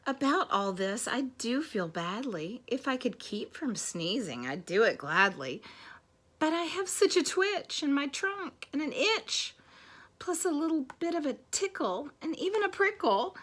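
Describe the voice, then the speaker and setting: A woman's voice: high-pitched, big pitch swings, a little slow, a bit bright, voice pushed up, full character voice. A woman voicing a big, apologetic, easily frightened elephant in a dramatic children's storybook reading. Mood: sad, apologetic. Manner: exclaiming, dramatic, repeating words. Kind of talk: animated children's storybook read-aloud.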